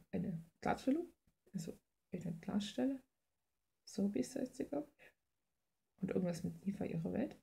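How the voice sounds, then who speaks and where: Woman, very raspy, mellow dark tone, informal slangy chat, thinking aloud. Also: mid-range voice. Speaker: artist hosting a drawing video. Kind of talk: casual rambling chat while drawing. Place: indoors at a drawing desk.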